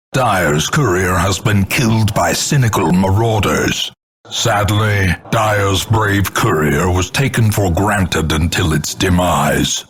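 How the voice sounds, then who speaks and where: An actor playing a grim, contemptuous older narrator of doom, announcing a video game with grandiose, theatrical gothic delivery. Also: male voice, low voice, fairly bright tone, breathy edge, full character voice. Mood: mournful, grim. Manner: grandiose, ornate, measured dramatic delivery.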